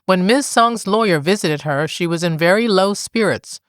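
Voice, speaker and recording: A woman reading scripted news in a measured, formal manner. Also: fairly low pitch, expressive, no room sound, clean studio sound. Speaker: news reader.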